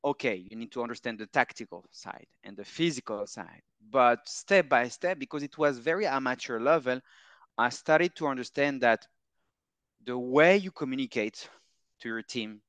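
A man in his thirties with a French accent, speaking in a smooth, resonant voice that sounds close and dry. He talks his way through answers with pauses, fillers and repeated words. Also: mid-range voice, expressive, a bit bright, no echo. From France. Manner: hesitant, repeats words and phrases, many fillers. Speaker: mental coach. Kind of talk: relaxed interview answers.

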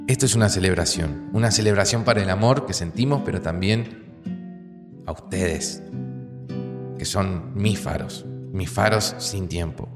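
A sentimental Argentine groom delivers a measured toast in a low voice. It rings through a long hall reverb, with clear guitar music underneath. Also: male voice. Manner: measured, pausing between phrases. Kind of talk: audio fiction acting, wedding speech. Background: music with plucked guitar.

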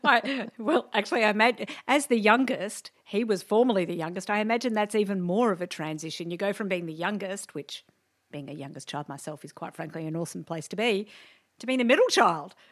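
A woman's voice: mid-range voice, animated, brisk, no room sound, studio quality. A woman with an Australian accent hosting a podcast, chatty and upbeat as she introduces her guest. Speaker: podcast host. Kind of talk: podcast interview.

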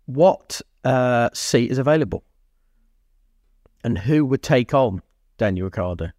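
A man's voice: mid-range voice, animated, measured pace, a little mellow, dry recording, studio quality. A man co-hosting a podcast, talking sport casually and emphatically, repeating phrases and posing rhetorical questions. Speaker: podcast co-host.

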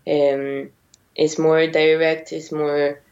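Hesitant young woman, low and slow. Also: flat delivery, a little mellow. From Argentina, raised in Mexico. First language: Spanish. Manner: hesitant, many fillers and restarts. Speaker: guest. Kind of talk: interview. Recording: dull lo-fi audio.

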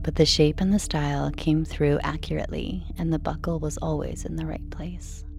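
A woman calmly reading a scripted bedtime narration in a hushed, measured and soothing way. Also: low voice, creaky, faint music behind. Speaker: narrator of a sleep podcast.

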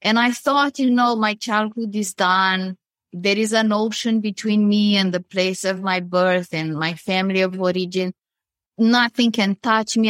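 A woman's voice: mid-range voice, fairly bright tone, dry recording. Romanian lady on a panel, earnest and personal, dry close sound. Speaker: panel guest, foundation founder. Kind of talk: panel discussion on a call.

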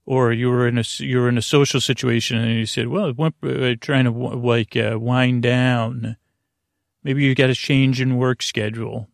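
A man delivers a rambling bedtime monologue as a podcast host meant to lull listeners to sleep. His mid-pitched voice is full of creak and fry. He meanders haltingly, circling back to correct his own words, in a very dry recording with no room sound. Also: dry recording. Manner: meandering, halting, self-correcting, drifting into tangents.

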